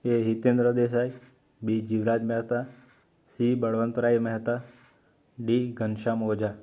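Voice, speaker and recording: A man with a Gujarati accent hosting an exam-prep quiz, reading out questions and options slowly and carefully. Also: medium pitch, completely flat delivery, warm tone, slight background noise. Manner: slow, measured reading of options. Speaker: quiz host for exam preparation.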